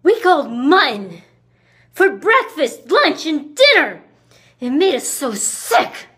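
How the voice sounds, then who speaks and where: A woman acting a slow-spoken, resentful Victorian murder suspect. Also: lowish voice, lightly acted. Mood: indignant, agitated. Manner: slow, deliberate delivery with long pauses. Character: cold, reclusive, resentful accused murderess of the 1890s. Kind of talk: dramatic theatrical monologue.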